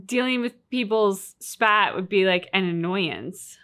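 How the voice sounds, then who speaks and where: A woman with a bright, forward voice at a middle pitch hosts a reaction video. She talks casually and informally about a game. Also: expressive, a little slow, clean tone.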